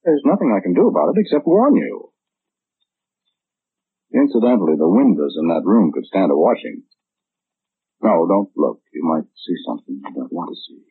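Low-voiced radio-play know-it-all. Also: male voice, very animated, normal speed, long pauses, breathy edge, no echo, exaggerated voice. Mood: blandly knowing. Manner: matter-of-fact, chatty, fussy precise wording. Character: know-it-all man who predicts everything, blandly self-assured. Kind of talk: 1940s radio drama acting. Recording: muffled old 1940s radio broadcast.

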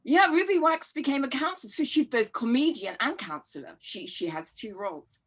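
A middle-aged woman guest on a podcast, talking in a warm, rambling and reflective way with frequent fillers and easy laughter. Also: sits high, animated, moderate pace, slightly rough. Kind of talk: casual conversational chat.

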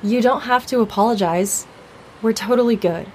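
A woman's voice: fairly high pitch, moderate pace, a little mellow, a little hiss or hum, lightly acted. A woman playing an easygoing, forgiving young friend in an audio drama, with a casual, teasing manner. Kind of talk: naturalistic audio drama acting.

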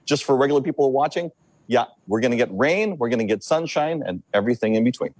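A man from Hawaii, a meteorologist, explaining the weather and big surf in a halting, repetitive way during a news interview. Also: medium pitch, lively intonation, a little mellow, slightly rough, no echo, low-quality recording. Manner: halting, repeats words while explaining.

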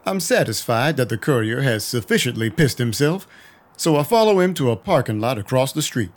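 An actor playing a cynical, violent vampire detective, narrating a noir audio drama with wry menace. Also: male voice, medium pitch, very animated, fairly quick, lightly acted. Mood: wry, resigned. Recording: music behind the voice. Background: music.

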